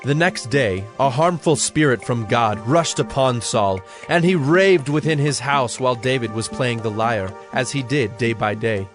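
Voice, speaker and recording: Guy reading bible story narration, serious and measured, medium voice, soft music in the back. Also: mid-range voice. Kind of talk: scripted dramatized scripture narration. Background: background music.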